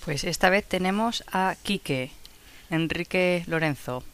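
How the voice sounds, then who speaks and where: A woman's voice: on the low side, measured pace. A woman co-hosting a podcast who gives brief, agreeable replies in casual chat. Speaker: podcast co-host.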